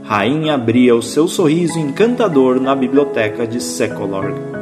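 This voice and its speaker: A man's voice: mid-range voice, moderate pace, over music. A man giving dramatic scripted narration for an audio drama.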